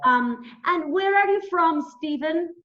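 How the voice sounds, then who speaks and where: A woman's voice: very high-pitched, expressive, unhurried. A woman hosting an informal interview, speaking hesitantly in simple phrases and repeating herself. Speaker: interviewer, English teacher. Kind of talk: informal interview over video call. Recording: dull, echoey call audio.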